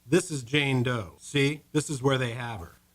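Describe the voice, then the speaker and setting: A world-weary male morgue attendant, voiced by a man, in an old-time radio drama. He speaks plainly and gruffly in a mid-range voice. Death is routine work to him. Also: medium pitch, unhurried, very noisy, close to an everyday voice. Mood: grim.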